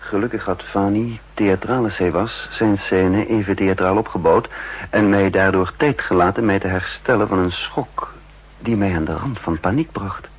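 A man narrating in the first person in a 1960s radio spy drama, measured and guarded. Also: low-pitched, breathy edge. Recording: dull lo-fi 1960s radio recording.

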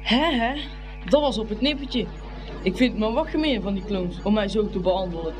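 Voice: mid-range voice, lively intonation, a little fast, music in the background, lightly acted. An actor playing a plucky, indignant young schoolboy at a fair, exclaiming and muttering in a radio play. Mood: sulky, aggrieved. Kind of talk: Dutch radio play acting.

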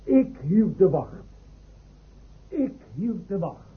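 Blustering husband, animated, high-voiced. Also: male voice, takes it slowly, heavily acted. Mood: boastful, reminiscing. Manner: blustering, repeats himself. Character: boastful, excitable husband and son on family camping trip. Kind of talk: radio comedy drama acting. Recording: muffled noisy old radio play recording.

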